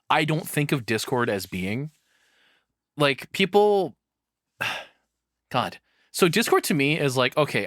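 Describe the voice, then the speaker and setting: A man's voice: mid-range voice, a bit bright, no room sound. Casual, rambling male streamer, dry sound. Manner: halting, rambling, sweary. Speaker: reaction streamer. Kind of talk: casual reaction commentary.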